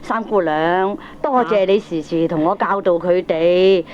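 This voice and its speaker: A woman's voice: fairly low pitch, a little slow, darkish tone, natural voice. An actress with a Hong Kong accent playing an earnest, repentant middle-aged mother, chatty and effusive in a radio drama. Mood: remorseful, grateful. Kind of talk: Cantonese radio drama acting. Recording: dull old cassette radio drama recording.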